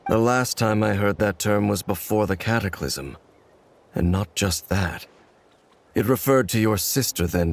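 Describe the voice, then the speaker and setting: An actor playing a brooding, coldly determined warrior in a video game, speaking in a measured, solemn, formal way. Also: male voice, on the low side, slight background noise. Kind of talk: English video game voice acting. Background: background music.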